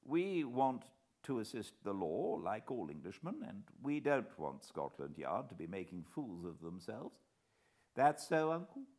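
A man voicing a dark young Englishman who speaks respectfully but firmly. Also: lowish voice, sing-song, measured pace, voice pushed up. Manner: respectful, clipped.

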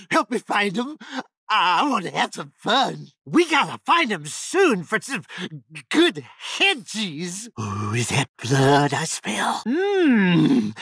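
An actor playing a giddy, sadistic young backwoods killer in a video game, with a Texan accent, a high raspy voice and an excitable, folksy stammer. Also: male voice, no echo, heavily acted. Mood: urgent, eager, then excited, sinister. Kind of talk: video game character voice lines.

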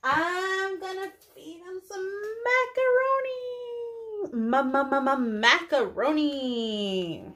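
A young woman, a preschool teacher, speaking to small children in a deliberate read-aloud style with drawn-out words. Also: extremely high pitch, lively intonation, fairly bright tone. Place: small indoor room.

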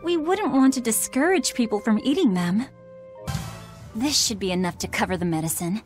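A woman voicing an earnest, spirited teenage village huntress in a video game, expressive in her delivery. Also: fairly high pitch, moderate pace, natural voice. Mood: playful musing. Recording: music under the dialogue.

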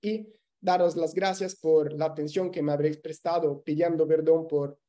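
Italian-accented man, dark-toned lecturing voice. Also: a little high, fairly even intonation. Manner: measured, with small restarts in a second language. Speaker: law lecturer. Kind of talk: academic webinar talk. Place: indoor room at home, video call. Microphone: webcam on video call.

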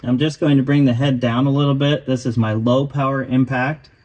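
A man talks through a repair step by step. His voice sits at an ordinary middle pitch, and his delivery is calm and matter-of-fact. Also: fairly quick, lo-fi sound. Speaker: host of a car restoration channel. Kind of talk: voice-over narration of a repair.

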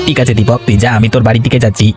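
A man voicing a hurried, urgent village messenger in a cartoon. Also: mid-range voice, flat delivery, darkish tone, faint music behind, lightly acted. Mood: urgent, grave. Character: hurried village man bearing bad news. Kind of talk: Bengali animated ghost-story voice acting.

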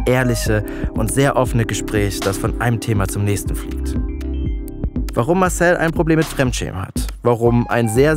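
Man, lowish voice, scripted podcast intro, clear music behind. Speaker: podcast host.

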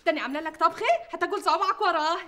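A woman's voice: very high-pitched, rapid-fire, pushed and bright. A woman playing a blunt, earthy second wife with a rural Egyptian accent. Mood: sassy. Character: brash country second wife. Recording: noisy recording.

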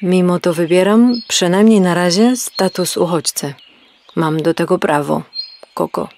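A woman's voice: lowish voice, unhurried, a little mellow, slight background noise. A woman voicing a stubborn, self-important hen who clucks after her phrases in a children's radio play. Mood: officious. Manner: officious, clucking at phrase ends. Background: birdsong.

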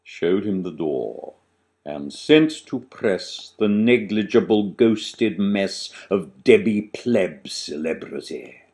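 An older man recites satirical rhyme in a low voice that swings widely in pitch. He takes his time, leaning on key words with theatrical relish and then dropping away. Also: expressive, darkish tone. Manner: dramatic, deliberate delivery with emphatic swells and drops. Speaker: author reading own verse. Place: small living room, on a sofa, indoors.

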